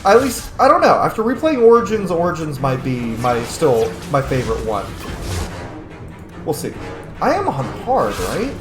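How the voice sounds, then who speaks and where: A man's voice: a little high, huge pitch swings, normal speed, echoey room, over music. A man giving casual, opinionated gaming commentary, speaking bluntly and emphatically with frequent swearing. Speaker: gaming channel host. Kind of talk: casual let's-play commentary with opinionated rambling.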